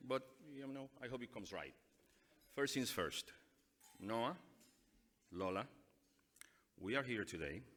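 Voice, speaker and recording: Brief: low-voiced man, earnest improvised gala speech, forceful delivery, halting restarts, reverberant hall PA. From Dominican Republic. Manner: earnest, halting, repeats phrases. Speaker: award honoree giving a speech. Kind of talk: unscripted speech at a benefit dinner. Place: large banquet hall. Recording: long reverb from banquet hall PA.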